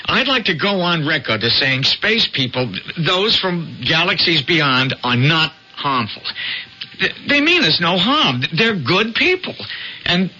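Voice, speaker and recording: A man's voice: fairly high pitch, very animated, unhurried, pushed and bright, exaggerated voice. An actor playing an anxious, guilt-ridden young newspaperman in an old-time radio drama, stammering and pleading. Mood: desperate. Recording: dull old radio recording.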